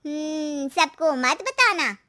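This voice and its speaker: Woman playing a high-voiced, superstitious neighbour auntie. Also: expressive, slow, sharp tone, exaggerated voice. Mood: cautioning. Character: neighbourhood auntie, knowing, cautionary, superstitious busybody.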